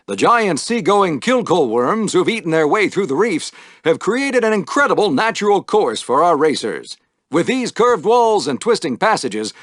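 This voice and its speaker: An exuberant sci-fi race announcer for a video game. The voice sits on the high side for a male and swings dramatically up and down, with showy, theatrical flair and a wry edge. The sound is dry and close, like a studio booth. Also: fairly bright tone, dry recording, heavily acted. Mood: showy, enthusiastic. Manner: showy, dramatic broadcaster delivery. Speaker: sports announcer.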